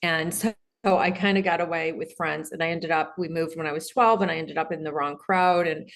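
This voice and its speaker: Lower-voiced woman telling her life story. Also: fairly even intonation, a little fast. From Detroit, Michigan, USA. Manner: rambling, many fillers and restarts. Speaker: podcast guest, mindset coach. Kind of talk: candid conversational storytelling.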